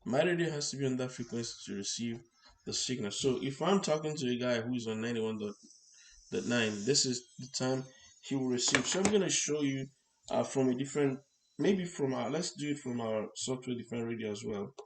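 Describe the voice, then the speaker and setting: A man with a mid-range voice presents a hands-on technical tutorial, unscripted. He explains step by step in short, halting phrases. There is noticeable noise behind his voice. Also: mid-range voice, rough recording. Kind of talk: hands-on electronics demonstration, unscripted. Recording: clear background noise.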